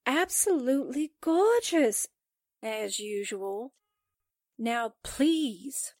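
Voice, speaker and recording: An excitable young female sidekick from an old radio drama. Her voice is extremely high and swoops around, with drawn-out, slow delivery and jittery, folksy impatience. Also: no room sound, studio quality, full character voice. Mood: exasperated admiration. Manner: folksy exclamations, exasperated nagging. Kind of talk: 1940s radio drama acting.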